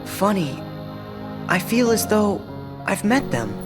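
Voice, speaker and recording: A man's voice: high-pitched, sing-song, slow, exaggerated voice. A man voicing an earnest, curious teenage boy hero in a video game cutscene. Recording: game audio with long reverb and music.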